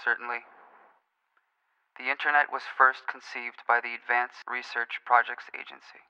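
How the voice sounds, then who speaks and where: A man playing an earnest, pedantic conspiracy-theorist caller in a radio play, speaking formally and deliberately, in a muffled, reverberant recording. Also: mid-range voice, flat delivery, pushed and bright, clear, resonant tone. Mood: solemn, precise. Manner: deliberate, formal, precise, solemn.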